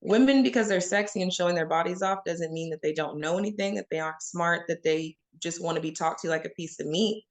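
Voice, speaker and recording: A woman's voice: on the low side, fast, hardly any pauses. A woman from Oklahoma giving candid, emphatic answers as an interview guest, speaking straight to the audience. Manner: frank and emphatic, addresses listeners as y'all.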